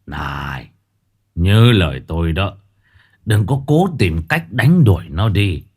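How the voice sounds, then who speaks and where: A stern, weary old fortune teller, his voice very deep and heavy with sighs, as a male narrator puts him on. He draws out every warning slowly, like a spoken horror tale. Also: big pitch swings, darkish tone, breathy edge, heavily acted. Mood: grave. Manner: slow, heavy, sighing delivery.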